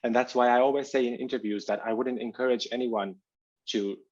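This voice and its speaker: A man from Finland, his Albanian, speaking as an author guest in a thoughtful, halting interview conversation over a video call. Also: medium pitch, steady tone, highs cut off. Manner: halting, reflective, searching for words.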